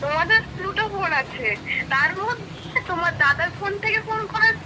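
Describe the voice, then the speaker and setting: Very high-pitched woman, loud background noise. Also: normal speed, a loud crowd. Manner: questioning, suspicious. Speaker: friend receiving a prank call. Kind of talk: casual phone chat. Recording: very noisy phone call.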